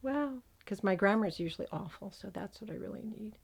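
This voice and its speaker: Lisping woman hosting a podcast, gravelly. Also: mid-range voice, lively intonation, a little fast. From United States. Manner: lisping after dental surgery. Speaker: podcast host. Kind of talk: casual podcast chat.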